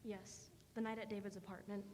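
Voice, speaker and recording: A woman's voice: mid-range voice, flat delivery, brisk, close to an everyday voice. A woman playing a frightened, haunted young woman in a radio drama, with a tremulous, emotional delivery. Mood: fearful, hesitant. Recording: reverberant hall, loud noise.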